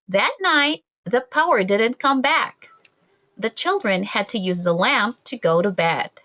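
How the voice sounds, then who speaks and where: Animated high-voiced woman reading a storybook. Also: dull audio. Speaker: storybook reader. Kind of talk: scripted children's story read-aloud.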